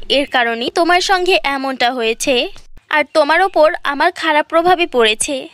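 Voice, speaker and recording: A woman's voice: high voice, fairly bright tone, dry recording. A woman voicing a stern, unforgiving magic frock that scolds in a cartoon fairy tale. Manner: stern, admonishing.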